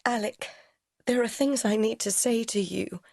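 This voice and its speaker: A woman's voice: a little high, unhurried, gravelly, a little mellow, dry recording, pitched above the performer's own voice. An anguished, respectable young woman, acted out by a female audiobook reader, pleads earnestly and politely. Her voice is lifted a little, and the sound is dry. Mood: anguished, pleading. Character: anguished, respectable young English secretary in secret love affair.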